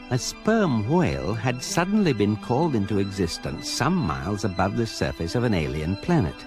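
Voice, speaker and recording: An actor playing a wry, unflappable guidebook narrator, measured and deadpan, in a radio comedy. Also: male voice, lowish voice. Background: music.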